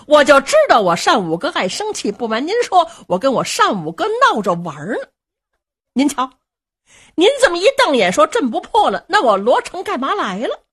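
A woman storyteller voicing a young, cocky male commander who coaxes and teases his elders in quick, bright speech. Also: high-pitched, wildly swooping pitch, voice pushed up. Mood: coaxing. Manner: teasing, coaxing, quick and bright. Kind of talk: traditional Chinese storytelling performance.

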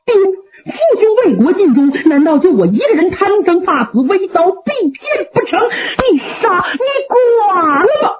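A woman's voice: sing-song, unhurried, husky, heavily acted. A woman voicing a young male warrior who pleads with his father through tears, heard through a tinny radio recording. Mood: anguished. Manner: weeping, pleading, high-strung.

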